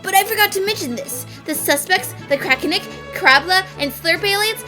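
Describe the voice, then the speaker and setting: A woman voicing an excitable, theatrical young woman in a fan-dubbed detective cartoon, speaking dramatically. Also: extremely high pitch, moderate pace, over music. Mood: explaining. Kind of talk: fan dub voice acting.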